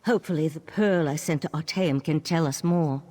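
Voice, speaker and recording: An actor playing a calm, earnest male mage of a secretive magic order, in a video game. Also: fairly high pitch, normal speed, lightly acted.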